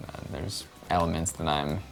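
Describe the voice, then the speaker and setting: A man's voice: very deep voice, steady tone, normal speed, vocal fry, faint music behind. A man in casual chat with his co-hosts, talking in a self-deprecating way. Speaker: show host.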